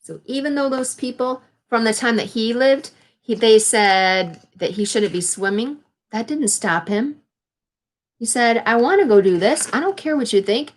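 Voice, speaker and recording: A woman reading a children's picture book aloud in an expressive, instructive way, adding explanations for young listeners. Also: a little high, normal speed. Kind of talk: read-aloud storytelling with explanatory asides to children.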